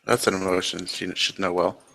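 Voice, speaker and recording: A man playing a calm, proud changeling enchanter in a roleplaying game, terse and matter-of-fact. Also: low voice, brisk, gravelly, exaggerated voice, poor audio. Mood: proud, defensive.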